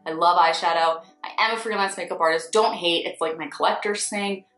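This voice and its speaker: A woman's voice: fairly low pitch, brisk. Blunt Philadelphia woman ranting in an echoey room. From Northeast Philadelphia. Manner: blunt, sarcastic, swears freely, animated swings. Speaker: beauty vlogger, freelance makeup artist. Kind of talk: candid casual ranting vlog. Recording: echoey room with faint music.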